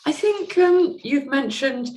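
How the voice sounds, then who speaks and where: A woman speaking as a podcast guest, discussing literature casually in a measured, considered way. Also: sits high, lively intonation, darkish tone, poor audio.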